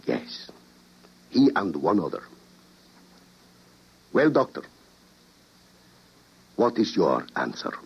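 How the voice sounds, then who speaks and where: An actor playing a grave, persuasive president with a solemn, deliberate delivery in an old radio drama. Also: male voice, sits low, sing-song, breathy edge, full character voice. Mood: grimly persuasive. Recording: muffled vintage radio drama recording.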